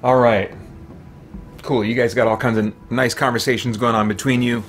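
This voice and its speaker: A man's voice: medium pitch, level tone, moderate pace, a bit bright. A middle-aged man doing casual live gameplay commentary, chatting and thinking aloud as he streams from a small home office. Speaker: game streamer. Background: game music.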